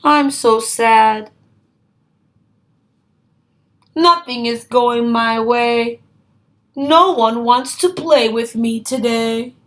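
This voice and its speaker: A children's storybook alligator voiced by a woman in a high register. It talks very slowly, in simple, drawn-out phrases. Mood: sad.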